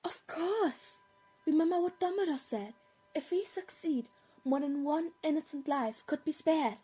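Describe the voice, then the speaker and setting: A woman playing a clever, brisk thirteen-year-old schoolgirl witch, explaining matter-of-factly in an audio play. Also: high-pitched, mellow, soft background music. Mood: urgent, explaining. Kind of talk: dramatic audio play acting.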